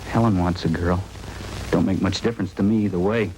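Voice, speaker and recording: Anxious, folksy young husband, played by a male actor, slightly low and hesitant, vintage radio drama. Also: steady tone, fairly quick, a little mellow, natural voice. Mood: anxious, hopeful. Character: anxious young husband awaiting his wife's childbirth. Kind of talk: 1950s radio drama acting. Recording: noisy old-time radio recording.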